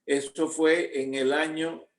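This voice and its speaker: An older man from Cuba with a Cuban accent, slowly recounting his youth to his grandchildren. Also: fairly high pitch, flat delivery, roomy sound. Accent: Cuban Spanish. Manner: slow, reflective storytelling. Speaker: grandparent being interviewed. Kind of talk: recounting memories.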